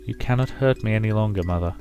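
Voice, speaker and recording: Loving but firm young daughter in a visual novel read by a man, measured and tender, lowish, over music. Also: dark tone, smooth, highs cut off, close to an everyday voice. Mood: tender, resolute. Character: gentle, resolute grown daughter, loving and forgiving. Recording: music behind the voice.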